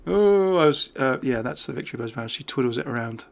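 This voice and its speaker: A man's voice: medium pitch, animated, fast talker, vocal fry. A man giving casual, off-the-cuff game commentary as a YouTuber, chatting and thinking aloud as he goes. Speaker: gaming YouTuber.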